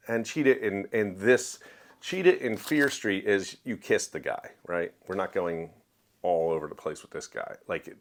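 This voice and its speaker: Male book reviewer, somewhat low register, chatty and opinionated, emphatic delivery, plain everyday talk. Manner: conversational, acts out quoted dialogue in everyday voice. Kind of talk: casual opinionated review to viewers.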